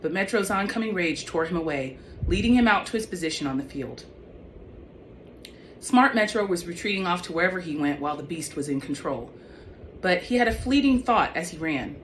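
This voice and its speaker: A woman's voice: fairly low pitch, animated, brisk, a bit bright. A woman author reading young adult fiction aloud in an audiobook style. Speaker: author reading own book. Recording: clearly noisy background.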